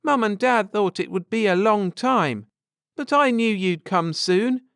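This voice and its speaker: A man voicing a joyful, excitable girl of about ten in an audiobook, full of eagerness. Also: high-pitched, measured pace, clean tone, no room sound, studio quality, pitched above the performer's own voice, exaggerated voice. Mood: overjoyed. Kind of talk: audiobook character dialogue.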